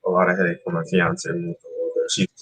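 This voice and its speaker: A man's voice: low-pitched, fairly quick, slightly rough, rough recording. A man in his thirties from Trinidad, a rapper guest talking casually and rambling with fillers about his start in music. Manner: relaxed, rambling with fillers and restarts. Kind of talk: casual interview chat.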